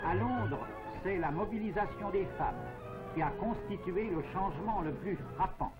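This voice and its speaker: A man delivering formal, measured, scripted narration in the declamatory style of a 1940s propaganda film. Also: sits high, rough recording. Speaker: newsreel narrator. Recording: 1940s film soundtrack with music. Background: music.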